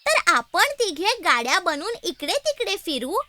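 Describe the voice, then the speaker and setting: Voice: very high-pitched, very animated, moderate pace, pushed and bright, slight background noise, heavily acted. A performer voicing a cheerful child cartoon character who explains games to playmates in simple, exclamatory phrases, in a children's educational cartoon. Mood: cheerful, explaining. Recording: music behind the voice.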